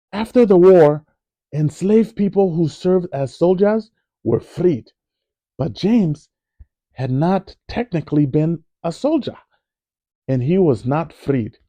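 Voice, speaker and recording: A man reading a children's biography aloud in a slow, clear storytelling manner. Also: fairly high pitch, dark tone, dry recording, highs cut off. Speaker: read-aloud narrator. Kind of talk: children's book read-aloud.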